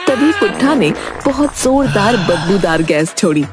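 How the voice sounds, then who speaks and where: A woman's voice: medium pitch, big pitch swings, unhurried. A woman reading scripted narration for a comedy cartoon story.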